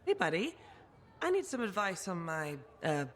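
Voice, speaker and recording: A woman's voice: on the low side, sing-song, moderate pace, slight background noise. A woman playing a cocky, reckless troublemaker in a video game, talking casually and cheekily. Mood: casual, cheeky. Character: reckless cocky brawler freelancer, easygoing troublemaker. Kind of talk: video game cutscene acting.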